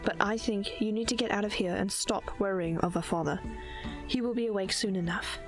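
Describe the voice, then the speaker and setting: A woman playing a caring, protective princess and older sister, with a measured, formal, soothing manner in an audio drama. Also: medium pitch, steady tone, natural voice. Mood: calm, reassuring. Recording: music bed behind the voice.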